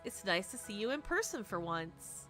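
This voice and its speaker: A young woman streamer reading a visual novel aloud expressively, with dramatic, casual, animated asides. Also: mid-range voice, normal speed, soft background music.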